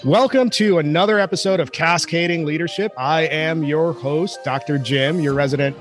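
A man's voice: a little high, normal speed, faint music behind. A man hosting a business podcast in an upbeat, jokey way, calling himself a nerd. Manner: enthusiastic, jokey, self-described nerd. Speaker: podcast host.

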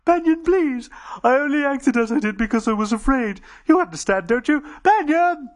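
Squeaky panicky alien prisoner, begging hard, voice way up high and thin. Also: male voice, animated, moderate pace. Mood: pleading. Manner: desperate, begging.

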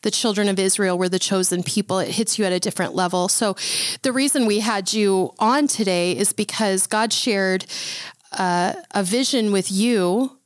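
A woman hosting a podcast, speaking in a conversational, emphatic way at a mid pitch. The recording is dry, with no room sound. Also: normal speed, no echo. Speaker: podcast host. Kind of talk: podcast interview.

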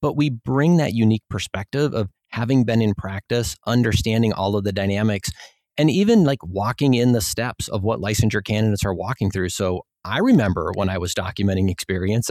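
A man's voice: fairly low pitch, a little fast, darkish tone, no echo. A man with a Minnesota accent, an exam executive explaining his work in an easygoing way as a podcast guest. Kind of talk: podcast interview.

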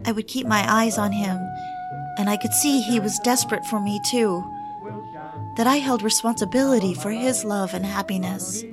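A woman's voice: mid-range voice, normal speed, over music, close to an everyday voice. An actress playing a romantic, yearning woman in love, intimate and reflective, in a confessional audio drama. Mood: wistful, reflective. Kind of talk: audio drama, confessional first-person narration.

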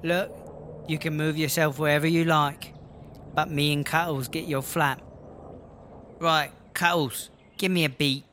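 Man as a very high-voiced cocky British female inmate. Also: level tone, unhurried, pauses often, a bit bright, a little hiss or hum. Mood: challenging. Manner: taunting, theatrical, slangy. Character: cocky, taunting female prison rap rival. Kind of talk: comedy audio drama acting. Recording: music behind the voice.

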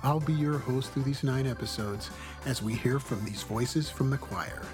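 A man with a mid-range voice hosts a podcast, reading a friendly, welcoming scripted introduction. Music plays clearly behind him. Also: mid-range voice, moderate pace. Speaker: podcast host. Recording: music playing underneath.

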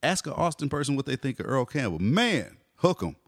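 A man in his forties, a hip hop artist telling stories in a relaxed interview, dropping "you know" often. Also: medium pitch, fairly quick, no echo, studio quality. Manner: laid-back storyteller, frequent "you know". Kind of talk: casual conversational interview.

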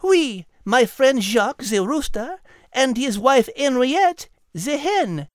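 A man voicing a clever, gentle female cat with a French accent and a precise, learned manner, as in an audiobook. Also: very high-pitched, sing-song, slow pace, no echo, clean studio sound, voice pushed up, heavily acted. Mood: bright, learned. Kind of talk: audiobook character acting.

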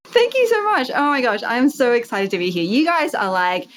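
A woman's voice: on the high side, big pitch swings, normal speed, fairly bright tone. A woman in her thirties with an Australian accent, a guest telling her business story in a bubbly, chatty way with self-deprecating laughs. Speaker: entrepreneur guest. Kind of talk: casual interview storytelling.

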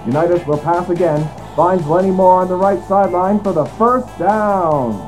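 Man acting as 1950s sports announcer, high excited voice, play-by-play commentary, music behind. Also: moderate pace, natural voice, rough recording. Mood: keyed-up.

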